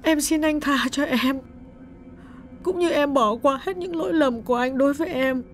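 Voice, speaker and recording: A man voicing a grieving young widow, pleading through tears in a high falsetto. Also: normal speed, soft background music. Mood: sobbing, pleading. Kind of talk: audiobook narration.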